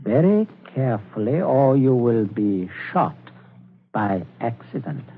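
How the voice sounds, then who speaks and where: A man's voice: mid-range voice, sing-song. An actor playing a middle-aged father, outwardly genial but coldly calculating and menacing, sometimes stammering, in a 1940s radio drama style. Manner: stammering when flustered, deliberate. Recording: noisy, tinny 1940s radio recording.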